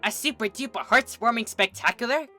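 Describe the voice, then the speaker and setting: A woman's voice: fairly high pitch, brisk, faint music behind, pitched above the performer's own voice. Woman doing a bubbly party pony. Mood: cheerful. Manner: bouncy, gushing, excitable. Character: bubbly party-loving pink pony mare.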